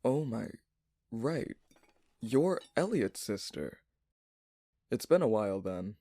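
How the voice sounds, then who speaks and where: Earnest young alchemist, dry close sound. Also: male voice, mid-range voice, big pitch swings, slow pace, mellow, dry recording, full character voice. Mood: apologetic, friendly. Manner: polite, matter-of-fact explaining. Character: earnest, modest young male alchemist, a dutiful son. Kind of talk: audio drama acting.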